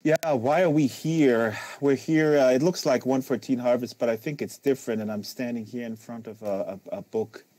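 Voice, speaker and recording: A man's voice: medium pitch, normal speed, hardly any pauses, warm tone. A man hosting a casual, unscripted live conversation, talking in a rambling, emphatic way given to hyperbole. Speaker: host of a live social VR book reading.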